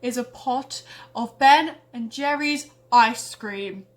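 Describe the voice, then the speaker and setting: A woman voicing a giant cursed fridge creature, gentle, grinding and portentous, in a parody story. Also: fairly high pitch, slow. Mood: grandiose.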